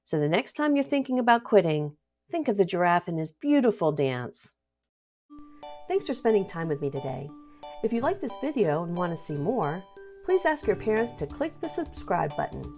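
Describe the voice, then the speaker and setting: A woman's voice: fairly low pitch, big pitch swings, normal speed, a little mellow, faint music behind. A woman hosting a read-along, narrating a rhyming picture book expressively for children.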